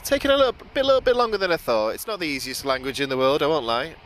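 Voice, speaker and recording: A man's voice: on the high side, animated, very fast, a bit bright. British man commentating live outdoors. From United Kingdom. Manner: informal, chatty. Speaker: live stream host and plane-spotting commentator. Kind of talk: casual live commentary, chatting with viewers. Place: outdoors by an airport. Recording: outdoor noise, aircraft and vehicle ambience. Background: outdoor urban and vehicle noise.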